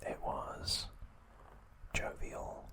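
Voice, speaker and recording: Man whispering very slowly, ASMR. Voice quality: breathy, unvoiced whisper. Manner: slow, hushed, halting with long pauses. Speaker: host of an ASMR channel. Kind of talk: ASMR, whispered informal trivia talk.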